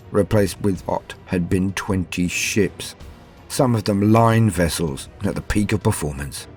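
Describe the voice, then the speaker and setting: A man voicing a shaken starship captain on a first command, giving a formal, confessional account in a horror audio tale, halting and then hurried. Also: deep voice, a little mellow. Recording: music under the voice.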